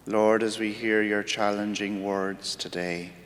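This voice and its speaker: A man with an Irish accent, a priest giving a weekday homily in a slow, reflective, measured way. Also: fairly even intonation, fairly bright tone. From Ireland. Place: church. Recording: church hall reverb with faint rumble.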